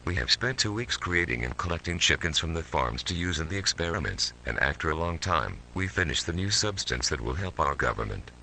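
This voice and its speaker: A man's voice: a bass voice, very animated, a little fast, creaky, hardly any pauses, bright, forceful tone, a little hiss or hum, exaggerated voice. A man voicing a detached military scientist, reading a formal, stilted analog horror narration. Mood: clinical, detached. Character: male military scientist coldly recounting his mutant experiments.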